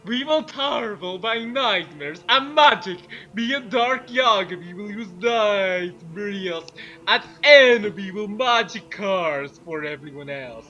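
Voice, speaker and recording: A man's voice: sits high, lively intonation, unhurried, bright, forceful tone, dull audio. A man reading fan fiction dramatically and chatting casually between passages. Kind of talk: dramatic story reading mixed with casual banter.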